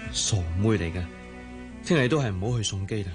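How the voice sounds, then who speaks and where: An actor with a Hong Kong Cantonese accent playing a devoted, sweet-talking young fiancé in a radio drama. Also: male voice, fairly low pitch, expressive, a little fast, faint music behind, close to an everyday voice. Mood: tender.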